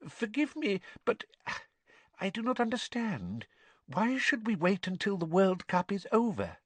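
A man voicing a timid, servile wizard henchman in an audiobook, squeaky, anxious and pleading, words tumbling out in a rush. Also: sing-song, measured pace, no room sound, heavily acted. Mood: nervous, deferential.

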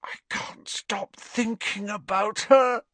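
A man voicing a lovesick, hot-tempered teenage wizard boy in an audiobook, breathy and strangled, with a dazed, pleading manner. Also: sits high, fairly even intonation, slow pace, fairly bright tone, no echo, voice pushed up. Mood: anguished. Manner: overwrought, dreamy, pleading. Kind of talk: audiobook character voice.

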